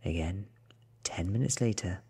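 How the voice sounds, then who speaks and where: Male ASMR reader, thirties: low, very breathy, soft-spoken and deliberate narration. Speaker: audiobook reader. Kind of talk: soft-spoken ASMR book reading, partly whispered.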